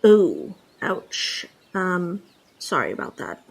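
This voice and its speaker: A woman's voice: on the low side, wildly swooping pitch, slow, vocal fry, husky. A woman playing a wry, reluctant reporter in a comedy sketch.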